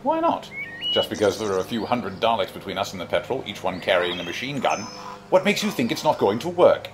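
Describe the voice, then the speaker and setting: An actor playing an eccentric, flippant time-travelling alien adventurer, whimsical, wry and fussily precise, in an audio drama. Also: male voice, lowish voice, huge pitch swings, fairly quick, noisy background. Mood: flippant, amused.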